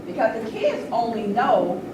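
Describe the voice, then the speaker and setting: A woman's voice: on the high side, sing-song, measured pace. A middle-aged American woman moderating an informal public panel discussion in a conversational, emphatic, questioning way. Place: large indoor event space with audience. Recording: echoey, very noisy room, distant mic.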